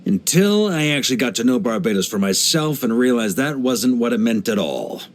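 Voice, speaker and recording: An actor playing a genial, laid-back middle-aged knight commander in a video game, with a theatrical, easygoing manner. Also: male voice, mid-range voice, very animated, normal speed, natural voice. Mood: musing, amused. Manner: jovial, laid-back, theatrical, given to chuckles. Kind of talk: English video game character voice lines.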